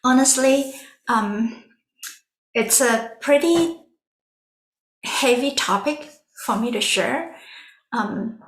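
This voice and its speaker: A woman giving a prepared sharing talk online, gentle and measured, with some fillers. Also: on the high side, animated, reverberant, rough recording. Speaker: volunteer speaker.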